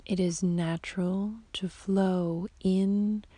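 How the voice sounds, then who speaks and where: A woman guiding a sleep meditation as a yoga nidra teacher, in a soft, whispery, soothing voice with long, unhurried pauses. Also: mid-range voice, steady tone, dry recording. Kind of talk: slow guided sleep meditation.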